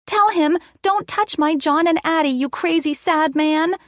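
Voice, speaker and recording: A woman's voice: very high voice, a little slow, a bit bright, no room sound, clean studio sound, voice pushed up. A woman voicing a small, giggly boy at play, defiant and mock-frightened, urgent and shrieking, in a storybook. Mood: bossy.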